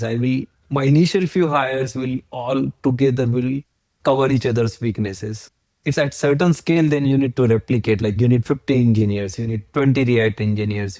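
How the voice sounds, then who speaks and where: A man's voice: medium pitch, mellow, dry recording. A man in his forties from India with an Indian accent, a podcast guest who explains his points earnestly, step by step, with examples. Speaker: agency founder, podcast guest. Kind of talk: podcast interview.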